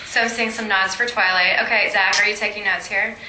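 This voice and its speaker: A woman's voice: medium pitch, fairly even intonation, brisk, a loud crowd. A woman casually leading a group chat, asking questions in an encouraging way. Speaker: discussion leader. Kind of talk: casual group discussion facilitation. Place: room with a group of teens. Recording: echoey room with clear background noise.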